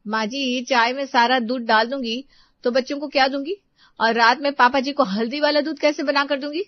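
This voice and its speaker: A woman's voice: high voice, steady tone, normal speed, no echo, highs cut off, lightly acted. A woman voicing an exasperated, thrifty young housewife who explains and protests in a family moral story. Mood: protesting. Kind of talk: Hindi moral-story character dialogue.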